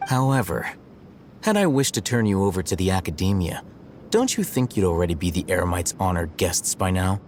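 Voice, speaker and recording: An actor playing an aloof, analytical young male scholar in a video game, speaking in a measured, formal, dryly probing way. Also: deep voice, sing-song, darkish tone, a little hiss or hum. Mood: wry. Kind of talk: video game cutscene acting.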